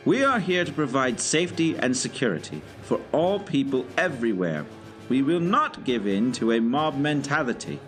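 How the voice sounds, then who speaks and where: An actor playing a pompous, commanding director in an audio drama, with a formal, haughty and clipped way of speaking. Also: male voice, mid-range voice, big pitch swings, moderate pace, a bit bright, soft background music. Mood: indignant, commanding. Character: pompous, commanding male director, clever and dryly imperious. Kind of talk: scripted audio drama acting.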